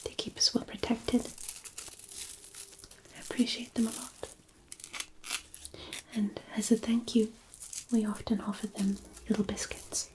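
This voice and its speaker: A woman as a whispering, unhurried gentle fairy. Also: on the high side, flat delivery, close to an everyday voice, poor audio. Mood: soothing, fond. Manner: soothing, unhurried, softly murmured, reassuring. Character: gentle, kindly forest fairy caring for a lost visitor. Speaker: ASMR roleplayer. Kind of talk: whispered fantasy ASMR roleplay.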